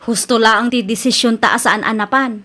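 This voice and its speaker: A woman's voice: a little high, fairly even intonation, normal speed, bright, forceful tone. A woman playing a frank, practical cousin in a radio drama, matter-of-fact, with an Ilocano accent. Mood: disapproving.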